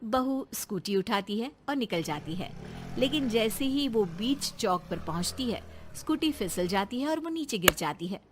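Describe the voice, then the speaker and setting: A woman's voice: mid-range voice, lively intonation, moderate pace, background noise. A woman narrating a scripted cartoon story as its storyteller.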